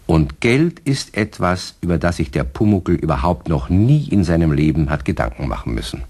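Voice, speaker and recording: A man with a low voice tells a children's story as the narrator of a radio play. His delivery is scripted and very animated, with pitch that rises and falls widely through each phrase. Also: moderate pace, breathy edge.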